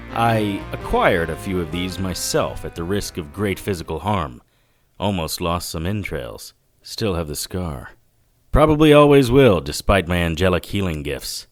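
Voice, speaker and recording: A hard-boiled 1940s private eye, with a fairly low voice. He sounds wry and matter-of-fact, in noir radio-drama style, over faint music. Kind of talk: noir first-person narration and dialogue.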